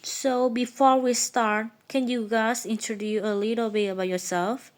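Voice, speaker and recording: A woman's voice: fairly high pitch, steady tone, normal speed. A woman hosting a podcast, reading a friendly, upbeat scripted introduction. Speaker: podcast host.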